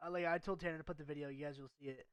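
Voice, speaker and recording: A man's voice: fairly high pitch, steady tone, racing, a crowd in the background. A man hosting a casual esports podcast, laid-back and slangy, calling people dude and bro. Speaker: podcast host.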